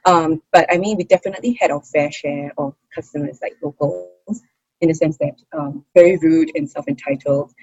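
A young woman from Singapore with a Singaporean English accent, chatting expressively as an interview guest, with frequent fillers and quick laughs. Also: deep voice, steady tone, darkish tone, breathy edge, no room sound, muffled recording, soft background music. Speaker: interview guest, hawker's daughter. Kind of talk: casual interview conversation.

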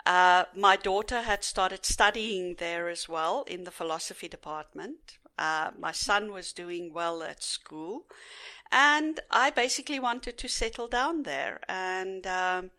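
A woman's voice: on the low side, takes it slowly, sharp tone. A middle-aged South African woman, a native Afrikaans speaker, talking unhurriedly in an interview with frequent fillers. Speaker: guest. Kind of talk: casual interview.

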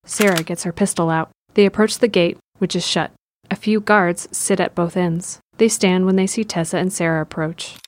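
Low-pitched woman narrating an audio drama. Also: fairly even intonation, moderate pace, hardly any pauses, a little hiss or hum. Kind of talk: scripted present-tense audio drama narration.

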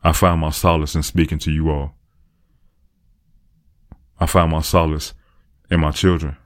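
A man hosting his own podcast, talking earnestly and encouragingly in a deep voice, repeating phrases to drive them home. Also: level tone, unhurried, no echo, studio quality. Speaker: podcast host. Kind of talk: motivational monologue.

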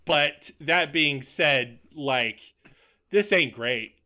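A man with a fairly high voice and a very bright, forward tone. He hosts a film podcast and talks in a chatty, informal way. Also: drawn-out words. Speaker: podcast host. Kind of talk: casual film discussion.

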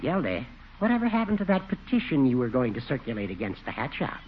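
An actor playing a cackling, needling old judge in a 1940s radio comedy, on a muffled, noisy recording. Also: male voice, mid-range voice, big pitch swings, fast, soft background music, close to an everyday voice. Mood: dryly needling. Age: older. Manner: cackling, dryly mocking.

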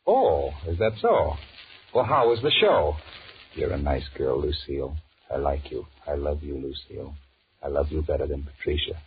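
Very deep, weary American office man. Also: very animated, normal speed. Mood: falsely cheerful, then brooding, furtive. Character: weary, henpecked two-timing office man, sullen inner thoughts. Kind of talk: 1940s radio drama acting, inner monologue. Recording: muffled, noisy 1940s radio recording.

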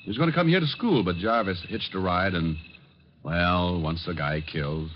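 An American actor playing a suave, wry private detective in a clipped, deadpan 1950s radio noir style. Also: male voice, deep voice, normal speed, a little hiss or hum, natural voice. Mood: matter-of-fact. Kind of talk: 1950s radio noir acting, first-person narration and dialogue. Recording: dull vintage radio recording with music.

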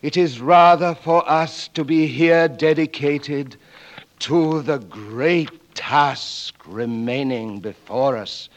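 An actor playing an earnest, loyal English gentleman's valet, polite and solemn, in 1940s radio style. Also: fairly high pitch. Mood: fervent.

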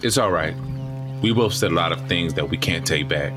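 A man playing a grieving father in an audio drama, wounded and quick to flare up. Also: deep voice, music in the background, close to an everyday voice. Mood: strained. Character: bitter grieving father, Harry.

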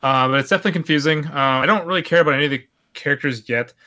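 A man in casual podcast chat, animated and sarcastic as he rants. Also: a little high, racing. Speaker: podcast co-host.

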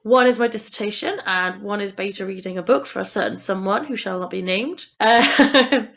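A woman's voice: medium pitch, moderate pace, gravelly, fairly bright tone. A woman chatting casually and informally as a podcast co-host.

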